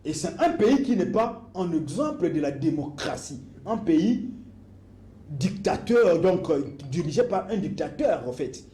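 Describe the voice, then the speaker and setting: A man presses his demands one by one, slow and deliberate. His voice sits fairly high yet sounds dark and mellow. The room around him is echoey. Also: very animated, slight background noise. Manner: insistent, lists demands, repeats phrases. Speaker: activist guest. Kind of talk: political interview.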